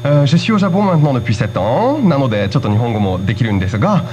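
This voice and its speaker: A man's voice: medium pitch, very animated, very fast, darkish tone, slight background noise. A man from the Bordeaux region, appearing as a guest actor and cheerfully giving enthusiastic recommendations. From Bordeaux region, France. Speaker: actor in a course drama, guest. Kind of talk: casual guest greeting.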